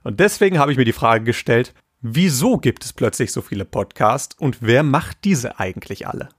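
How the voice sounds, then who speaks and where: A man's voice: medium pitch, expressive, normal speed, husky. A young man hosting a podcast interview in a casual, chatty, joking way, with frequent filler sounds. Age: young adult.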